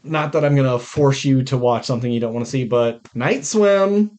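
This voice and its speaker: A man talks as a podcast co-host in a mid-pitched voice. His delivery is casual and informal, like chatting about films with a friend, with some swearing thrown in. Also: moderate pace, a little mellow. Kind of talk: casual chat about movies.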